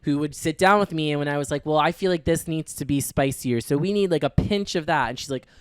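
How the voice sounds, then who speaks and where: A man chatting as a guest about his cookbook, campy and animated, laughing often. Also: on the high side, fast, smooth, dry recording. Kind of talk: casual chat.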